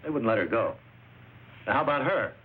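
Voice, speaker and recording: An actor playing a brisk, opinionated government agent in a film noir crime drama. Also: male voice, medium pitch, expressive, slightly rough. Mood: musing. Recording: tinny narrow-band old recording, faint noise.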